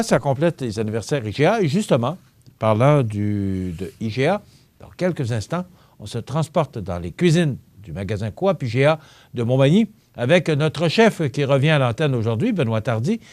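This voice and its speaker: A man with a Québécois French accent hosting a local TV morning show, reading birthdays and interviewing a guest in a store kitchen. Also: medium pitch. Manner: lively, stumbles over names and jokes about it.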